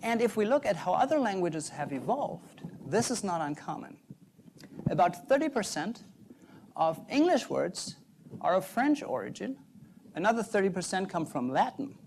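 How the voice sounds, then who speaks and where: A young adult man, a native German speaker, giving an earnest prepared talk in measured phrases. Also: high-pitched, big pitch swings, creaky, darkish tone, a little hiss or hum. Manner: earnest, measured, pauses between short phrases. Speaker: doctoral researcher giving a talk.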